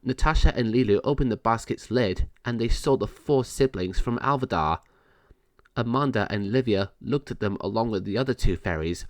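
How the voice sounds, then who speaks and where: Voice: medium pitch, moderate pace, no echo. A narrator reading a children's story aloud in a scripted read-along style.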